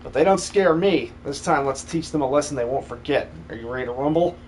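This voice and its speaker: A brash, rowdy fantasy game fighter, played by a man. The voice sits a little high for a male and has the swagger of someone rallying troops. It is an amateur dub with faint background noise. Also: a little fast, darkish tone, natural voice. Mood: defiant, rallying.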